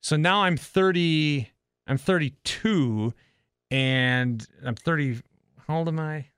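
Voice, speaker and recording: A man's voice: on the high side, takes it slowly, a bit bright, clear, resonant tone, no echo, clean studio sound. A man in his thirties hosting a casual podcast interview, talking in a rambling, self-correcting way. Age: 30s. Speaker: podcast host and radio host.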